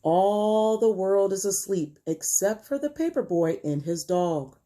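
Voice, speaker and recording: A woman teacher reading a picture book aloud to young children in an expressive, gentle storytelling manner and putting questions to her pupils. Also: medium pitch, unhurried.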